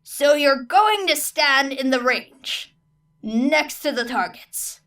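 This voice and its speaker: A woman's voice: high-pitched, lively intonation, takes it slowly, sharp tone, distant mic, voice pushed up, full character voice. A woman voicing a weary, cautious male teacher in an audiobook story, exasperated and long-suffering. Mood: incredulous.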